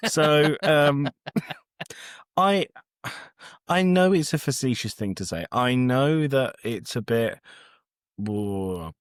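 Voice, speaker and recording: A man's voice: medium pitch, expressive, takes it slowly, long pauses, no room sound, clean studio sound. A man co-hosting a podcast in casual film review banter, blunt, sweary and facetious. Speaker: podcast co-host.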